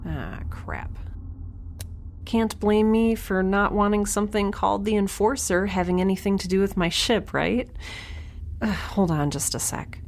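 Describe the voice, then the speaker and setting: A woman's voice: medium pitch, expressive, unhurried. A woman playing a wry, flustered young woman alone on a spaceship, in a casual, hesitant, sarcastic audio drama style. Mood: exasperated. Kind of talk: naturalistic sci-fi audio drama acting. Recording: faint ship ambience noise.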